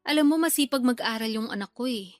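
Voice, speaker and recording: Woman acting a pushy, high-voiced mother. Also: fast talker, no room sound, clean studio sound, faint music behind, close to an everyday voice. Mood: coaxing. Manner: colloquial, nagging, coaxing. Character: pushy, talkative poor provincial mother, middle-aged. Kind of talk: Filipino radio drama acting.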